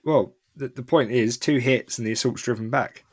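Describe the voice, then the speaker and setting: A man's voice: medium pitch, normal speed. A man co-hosting a podcast, joking and picking at the wargame rules for loopholes in casual chat. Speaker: podcast co-host.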